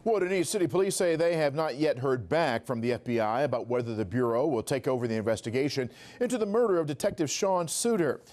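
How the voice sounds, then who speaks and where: A man giving a scripted TV news update in a measured, formal broadcast manner. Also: mid-range voice, lively intonation, darkish tone. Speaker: TV newsroom reporter.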